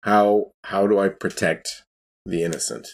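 A man playing a tabletop game, asking questions casually in a hesitant, halting way. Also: low-pitched, vocal fry, breathy edge.

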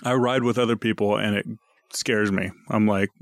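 A man's voice: lowish voice, steady tone, normal speed, gravelly, no room sound, clean studio sound. A man chatting casually as a podcast co-host, wry, chipping in and acting out imagined remarks. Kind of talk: casual chat.

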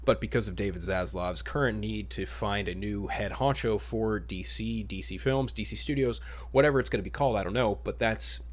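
Low-voiced man hosting a podcast. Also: fairly quick, hardly any pauses. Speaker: podcast host. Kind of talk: casual chat about superhero films.

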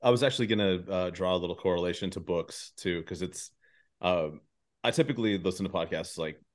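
A man hosting a casual interview chat, speaking in a halting, rambling way. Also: low voice. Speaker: podcast host.

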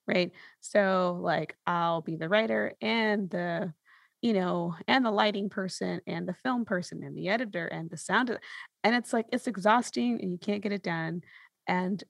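A woman's voice: medium pitch, vocal fry, no room sound. A woman hosting a casual interview, talking informally and encouragingly to her guest. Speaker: podcast host, interviewer.

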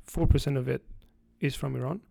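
A man from France, a guest analyst giving explanatory interview answers, halting with frequent restarts. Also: medium pitch, fairly even intonation, creaky, dark tone, no room sound.

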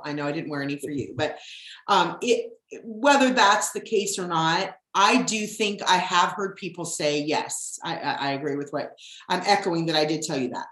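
A middle-aged woman with a low, husky voice hosts her podcast in a loose, rambling chat full of ums. Her voice rings in a noticeably echoey room. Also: a bit bright. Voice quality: deep husky voice. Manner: chatty, rambling, with frequent ums. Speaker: podcast host. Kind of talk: casual chat.

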